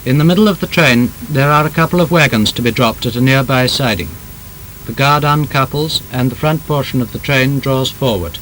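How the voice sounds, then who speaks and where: A British man narrates a vintage training film in a formal, measured voice. His delivery is emphatic and scripted, in the manner of 1930s instruction. The old soundtrack carries a faint rumbling noise, and his pitch sits in the middle of the male range. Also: fairly bright tone, low-quality recording.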